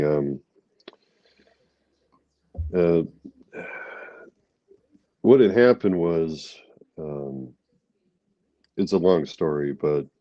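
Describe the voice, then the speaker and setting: Brief: male guest, middle-aged, stuffy from a cold, deep and mellow, hesitant with many ums, flat dry muffled sound. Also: no room sound. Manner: halting, many fillers and restarts. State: congested from a cold. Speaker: guest, army veteran. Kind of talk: candid interview talk.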